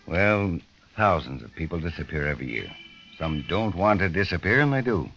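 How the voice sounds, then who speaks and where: Brisk pressing boss editor, American accent, very low voice, radio play, muffled hissy old recording. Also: male voice, animated, a bit bright. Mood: pointed, probing. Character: brisk, pressing male magazine managing editor. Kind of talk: 1940s-50s radio drama acting.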